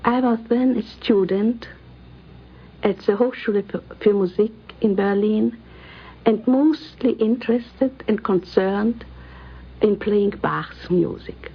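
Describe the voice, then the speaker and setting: A woman interviewee recalling her past in careful, halting phrases, on a muffled 1950s radio recording. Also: medium pitch, slightly rough. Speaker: interviewee, music professor. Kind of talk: personal reminiscence for radio documentary.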